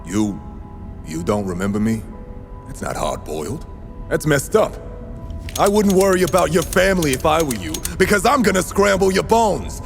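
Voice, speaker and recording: An actor playing a gruff, crude, self-serious hitman in a comedic anime parody, with tough-guy swagger. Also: on the high side, very animated, normal speed, soft background music. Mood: menacing, offended.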